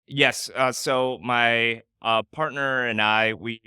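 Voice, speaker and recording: A man's voice: mid-range voice, steady tone, slow, bright, forceful tone, smooth, no room sound, studio quality. A man, a guest answering interview questions thoughtfully, with frequent "uh" fillers. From Michigan, United States. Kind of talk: casual interview conversation.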